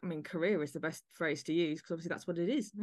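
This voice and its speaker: A woman speaks as a podcast host. Her voice sits at an ordinary middle pitch. She addresses listeners and guest in a conversational interview style. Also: fast talker. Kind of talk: conversational interview with scripted introduction.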